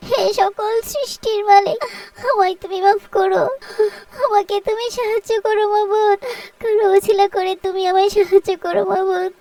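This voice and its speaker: A plaintive young cartoon woman, acted by a woman, in a very high voice. She sounds distressed and devout as she pleads and recounts her ordeal, recorded at some distance from the mic. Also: a little mellow. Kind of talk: dramatic cartoon dialogue and storytelling.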